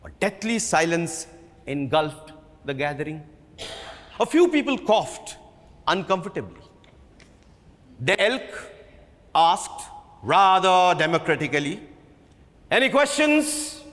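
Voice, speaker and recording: A man reading a book aloud as dramatic narration, theatrical and measured in manner. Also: fairly high pitch, lively intonation, fairly bright tone. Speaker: reader, theatre performer. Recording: reverberant hall.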